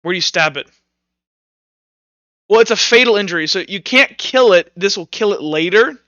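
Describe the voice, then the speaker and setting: A man with a high, crisp voice acts as game master for a tabletop roleplaying game. He improvises in an informal, halting way, thinking aloud as he goes. The recording is dry and slightly dull. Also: lively intonation, bright, forceful tone, no room sound.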